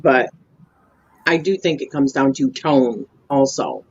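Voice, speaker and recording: A woman's voice: very deep voice, slow, slightly rough. Powerful-voiced woman, dull lo-fi audio. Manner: emphatic, talks loud from a big family. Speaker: co-host. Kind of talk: casual panel chat.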